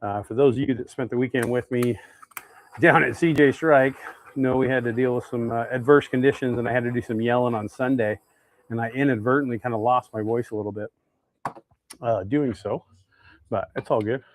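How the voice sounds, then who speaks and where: A man's voice: medium pitch, fairly even intonation, fairly quick, a little hiss or hum, poor audio. An American man hosting a casual live demonstration, talking to viewers in a folksy, easygoing way and thinking aloud as he works. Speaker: host of a fishing lure making livestream.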